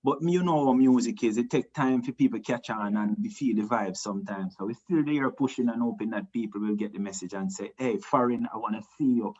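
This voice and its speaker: A man from Jamaica with a Jamaican accent, a reggae singer answering interview questions in a relaxed, rambling way, full of restarts and "you know". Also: medium pitch, brisk, hardly any pauses, breathy edge, lo-fi sound. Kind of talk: casual interview talk.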